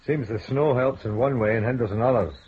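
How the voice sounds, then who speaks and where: An actor playing a plain-spoken police sergeant who grumbles. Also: male voice, medium pitch, fairly quick, phone-quality sound, slight background noise, close to an everyday voice. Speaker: police officer.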